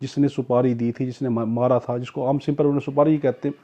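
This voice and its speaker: A man's voice: mid-range voice, level tone, mellow. A Pakistani man giving an explanatory law lecture, restating key points for his students. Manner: reads English legal phrases out slowly. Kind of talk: explanatory classroom lecture with examples. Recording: dull, muffled lo-fi lecture audio.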